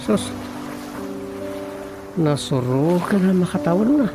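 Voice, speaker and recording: A man's voice: high voice, lively intonation, takes it slowly, music in the background. A man acting a grumbling village man in an Ilocano comedy radio drama, muttering and exclaiming to himself.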